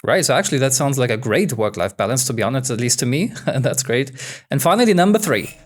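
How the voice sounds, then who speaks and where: A man's voice: mid-range voice, animated, brisk. A man hosting a podcast interview, asking upbeat questions with many fillers. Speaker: podcast host.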